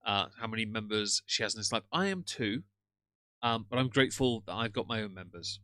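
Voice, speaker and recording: A man's voice: on the low side, animated, fairly quick, pushed and bright, clean tone. A man with a British accent hosting a casual live stream, ranting sarcastically and greeting chat viewers. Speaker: livestream host reacting to another streamer.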